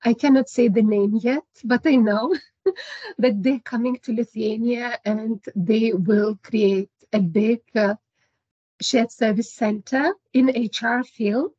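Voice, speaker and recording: A woman's voice: a little high, slow pace, dark tone, breathy edge, no room sound, dull audio. A woman career advisor answering questions in an online seminar, encouraging and practical. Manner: encouraging, gives advice with light laughs. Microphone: video call.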